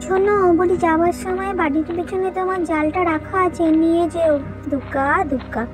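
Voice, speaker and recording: High-pitched young village bride voiced by a woman. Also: fairly even intonation, fairly quick, over music. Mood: homely, urging. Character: young village bride, practical, wary of her in-laws. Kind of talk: animated moral story voice acting.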